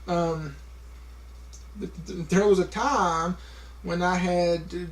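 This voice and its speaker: Slow man with a heavy stutter. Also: sits high, steady tone. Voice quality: heavy stutter with repeated sounds and blocks. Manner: slow, halting, many fillers. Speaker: vlogger. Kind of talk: casual talk to camera.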